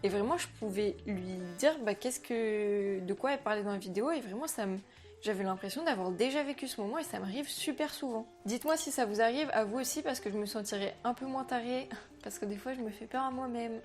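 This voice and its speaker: A young woman, a French native speaker, chatting to camera in a slangy, animated way, talking about herself as a vlogger. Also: fairly high pitch, brisk, soft background music. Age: young adult. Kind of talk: casual chat to camera.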